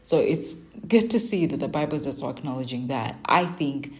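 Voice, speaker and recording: Low-voiced woman giving a Bible reflection. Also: expressive, a little fast. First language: Luganda. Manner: earnest, conversational, sharing personal stories. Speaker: Bible devotional podcast host. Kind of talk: personal Bible reflection and teaching.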